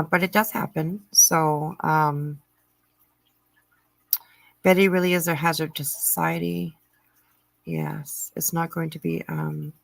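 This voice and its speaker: A middle-aged woman hosting a livestream, chatting casually and answering viewer comments in a halting way with frequent pauses. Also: fairly low pitch, creaky, fairly bright tone, no echo. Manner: halting, pausing often mid-sentence. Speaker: livestream host.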